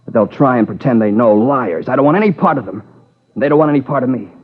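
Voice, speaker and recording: An actor playing a tormented man in his twenties, anguished, defensive and halting, in a 1950s radio crime drama. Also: medium pitch, animated, natural voice. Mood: bitter, defensive. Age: 20s. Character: tormented young man confessing to killing his lover. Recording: muffled old 1950s radio recording.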